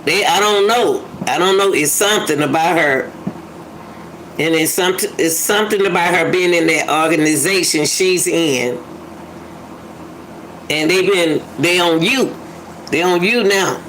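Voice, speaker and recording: A woman's voice: fairly low pitch, a little slow, a bit bright, a little hiss or hum, low-quality recording. A woman giving casual reaction commentary, musing aloud and repeating her phrases for emphasis. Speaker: commentator reacting to a phone call clip.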